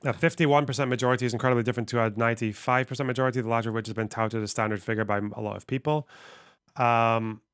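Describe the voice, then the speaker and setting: Man, smooth clear voice, dry close sound, reads aloud and muses, casual stream commentary. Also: mid-range voice, steady tone, rapid-fire, fairly bright tone, no echo.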